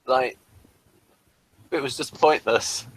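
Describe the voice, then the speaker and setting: A man's voice: medium pitch, slow pace, breathy edge, low-quality recording. A man chatting casually as co-host of a review show.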